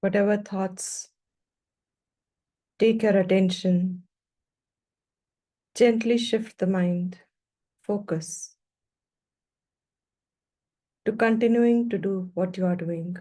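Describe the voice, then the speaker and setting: Woman, meditation teacher, dark relaxed tone, mid pitch, calm measured delivery, long pauses, gentle instruction.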